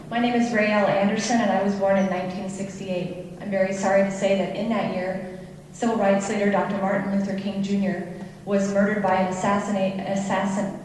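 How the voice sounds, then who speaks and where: A woman's voice: mid-range voice, flat delivery, a little fast, a little mellow, a little hiss or hum. A woman reading a prepared speech formally to an audience, stumbling over a word, heard from a distant mic in an echoey hall. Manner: reads formally, stumbles and corrects herself. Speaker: student presenter.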